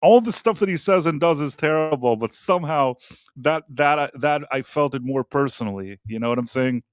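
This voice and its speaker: A man's voice: on the high side, animated, a little fast, clear, resonant tone, no room sound. Guy cohosting a live show, rambles, keeps restarting, dry close mic. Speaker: co-host. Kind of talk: casual live-stream chat.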